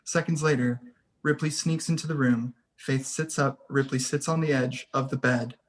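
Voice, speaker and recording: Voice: medium pitch, steady tone, normal speed. A person reading scene headings and stage directions as scripted narration for a screenplay table read.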